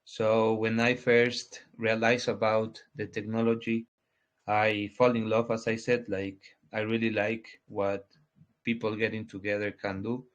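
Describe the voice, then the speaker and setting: Man, twenties, halting, dull muffled recording. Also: lowish voice, flat delivery. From Ecuador. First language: Spanish. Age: 20s. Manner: halting, restarts often, searches for words. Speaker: guest, crypto project founder. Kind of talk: casual interview answers. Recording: dull lo-fi audio.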